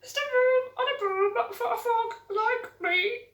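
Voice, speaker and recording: A woman voicing a polite male frog, with a croaky, throat-clearing voice, in a storybook reading. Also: very high voice, unhurried, fairly bright tone, recorded from a distance, pitched above the performer's own voice. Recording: echoey room.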